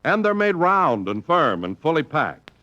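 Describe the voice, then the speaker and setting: Male announcer, bright and lively, hearty sell, muffled old radio. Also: unhurried. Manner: hearty, booming salesman delivery.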